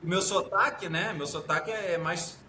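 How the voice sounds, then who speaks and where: A man's voice: sits high, moderate pace, a crowd in the background. A man with a Rio-leaning Brazilian accent, a judge telling anecdotes in a casual podcast chat and acting out the dialogue. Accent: Rio de Janeiro-leaning Brazilian Portuguese. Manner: lively anecdote teller, acts out dialogue, laughs often. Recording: echoey room with clear background noise.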